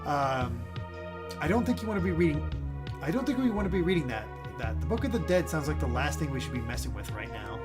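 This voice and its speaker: Animated man reading game narration aloud. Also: on the high side, fast. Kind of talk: casual let's-play read-aloud with chat asides. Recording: game music behind the voice. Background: video game music.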